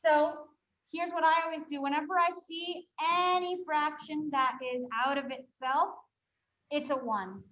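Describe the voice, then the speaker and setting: High-voiced New York teacher. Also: female voice, measured pace, a bit bright, echoey room, dull audio, a little hiss or hum. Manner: quizzes students and works problems aloud. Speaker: fifth-grade math teacher. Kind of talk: live classroom math lesson. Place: classroom.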